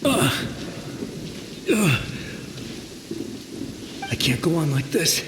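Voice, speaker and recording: The ghost of a young soldier, high-pitched and desperate. He pleads urgently and haltingly in anime game style, his voice echoing through storm noise. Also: sing-song, heavily acted. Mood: panicked, warning. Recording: echoing, noisy, with rain and thunder behind.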